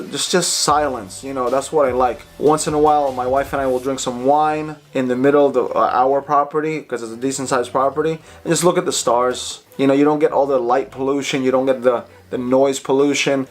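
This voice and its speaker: Man, 30s, from the Philippines, raised in the US; casual, friendly vlog host. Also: medium pitch. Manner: chatty, repeats words when searching. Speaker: vlogger.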